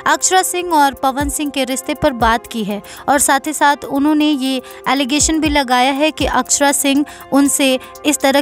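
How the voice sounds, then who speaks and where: A woman reading scripted news narration as a presenter. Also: high voice. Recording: music playing behind. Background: background music.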